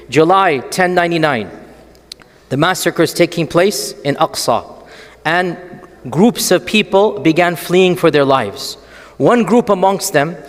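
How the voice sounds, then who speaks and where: A man giving an animated, storytelling religious lecture and often asking the audience rhetorical questions. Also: high voice, long reverb tail. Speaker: Islamic lecturer.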